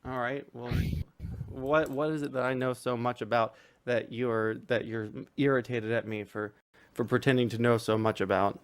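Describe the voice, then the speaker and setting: Brief: mid-pitched man, relaxed questioning debater, restarts often. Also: clean tone. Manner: casual, questioning, quick restarts. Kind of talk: online voice-call debate.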